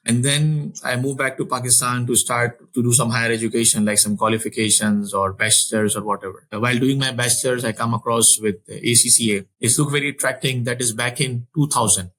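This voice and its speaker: A man's voice: medium pitch, fairly even intonation, brisk, few pauses, sharp tone, low-quality recording. Earnest man telling his career story. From Saudi Arabia. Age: 40s. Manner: frequent restarts and repeated words, earnest. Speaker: finance executive guest. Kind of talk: conversational interview storytelling.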